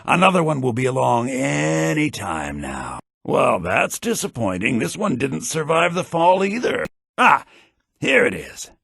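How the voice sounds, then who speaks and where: A smug creepy necromancer for a game, coaxing, croaky, pitch slides all over. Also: male voice, big pitch swings, unhurried, a bit bright, no echo, studio quality, exaggerated voice. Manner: smug, falsely coaxing, muttering. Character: sly, cruel necromancer gleefully luring victims into a trap. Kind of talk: video game character acting.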